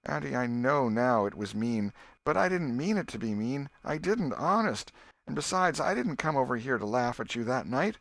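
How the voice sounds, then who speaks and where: Man voicing a high, pleading country boy. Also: very animated, gravelly, a bit bright, no room sound. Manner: halting, colloquial boyish protests.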